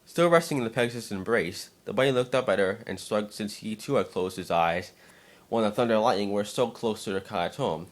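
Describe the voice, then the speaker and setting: A man narrating a scripted fan-fiction story as a dramatic reading, expressive but now and then stumbling over words. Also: fairly low pitch, brisk.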